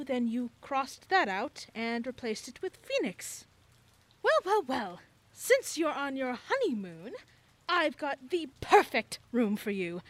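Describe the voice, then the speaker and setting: An actress playing an eccentric, nosy woman who keeps a creepy motel, with a theatrical, flowery, singsong delivery in an audio drama. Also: high voice.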